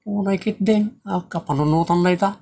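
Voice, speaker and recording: A man's voice: high voice, a little fast, vocal fry, mellow. A man playing an outspoken, teasing friend, blunt and bantering, in a comedy audio drama.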